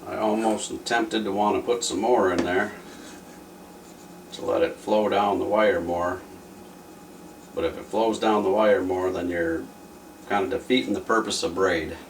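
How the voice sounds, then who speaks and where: A man's voice: low voice, steady tone, measured pace, long pauses. A man giving casual, offhand commentary as he thinks aloud during a hands-on repair. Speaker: repair video presenter. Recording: roomy, distant mic with faint noise.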